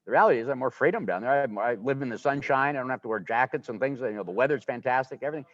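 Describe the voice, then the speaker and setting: Fast-talking older man, muffled audio. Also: mid-range voice, expressive, dry recording. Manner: rambling, enthusiastic. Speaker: guest. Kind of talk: casual conversation. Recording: dull, muffled livestream audio.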